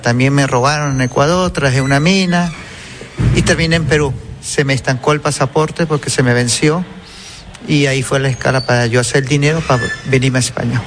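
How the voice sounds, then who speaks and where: A man's voice: medium pitch, slight background noise. A middle-aged man from Venezuela, telling his migration story in an interview in an earnest way.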